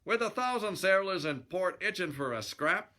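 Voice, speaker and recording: Man playing a tough, cocky sailor boxer, bright. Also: a little high, animated, unhurried, voice pushed up. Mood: annoyed. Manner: slangy, blunt, scrappy.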